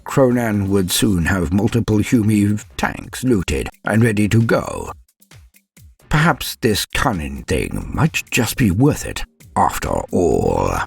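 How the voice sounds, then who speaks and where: A man narrating a comedic mock-epic fan story in a grandiose, lively storytelling manner with dry asides. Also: fairly low pitch, slow pace, vocal fry, husky, soft background music.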